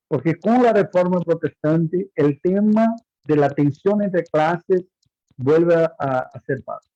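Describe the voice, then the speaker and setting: A man teaching a Bible course in Spanish as his second language, halting and repeating words as he lectures and asks his students questions. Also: fairly high pitch, mellow, no room sound. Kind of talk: online class lecture. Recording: muffled lo-fi audio.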